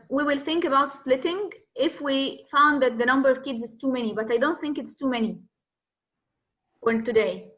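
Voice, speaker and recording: A woman from Egypt, a native Arabic speaker with an Egyptian accent, introducing herself as a teacher at an online orientation, pausing often with fillers and "okay". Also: high voice, a bit bright. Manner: hesitant, many fillers and "okay"s. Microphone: video call.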